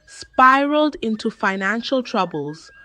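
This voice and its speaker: A woman narrating a scripted folktale in a measured, dramatic storytelling manner. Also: fairly high pitch, big pitch swings, bright, forceful tone.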